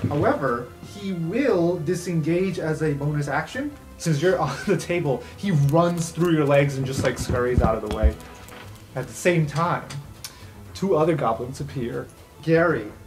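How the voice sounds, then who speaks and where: A man's voice: medium pitch, darkish tone. A man narrating an improvised fantasy tabletop game as game master. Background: background music.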